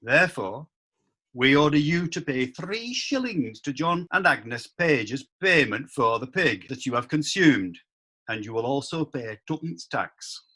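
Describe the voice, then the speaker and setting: A man playing a medieval court judge who delivers formal, measured rulings. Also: mid-range voice, expressive, pushed and bright, slightly rough, full character voice. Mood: stern.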